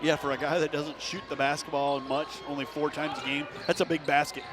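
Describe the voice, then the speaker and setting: A man's voice: fairly high pitch, flat delivery, brisk. A man working as color analyst on a live radio basketball broadcast, explaining plays in a conversational way.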